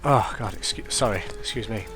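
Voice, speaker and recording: Sniffly young gaming commentator. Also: male voice, fairly low pitch, a little fast, over music. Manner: rambling, self-correcting, excitable reactions. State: congested, sniffly. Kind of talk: casual gameplay commentary.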